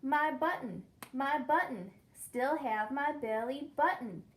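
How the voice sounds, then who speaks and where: A woman's voice: high-pitched, animated, slow, fairly bright tone, full character voice. A woman voicing a cheerful, laid-back cartoon cat, chanting a little song in a sing-song way. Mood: easygoing.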